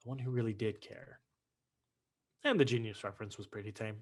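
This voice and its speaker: A man's voice: lowish voice, fairly even intonation, fairly quick, natural voice. A man acting a brainy, sardonic young son with a dry, wry manner, in a staged play reading on a video call. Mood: wry musing.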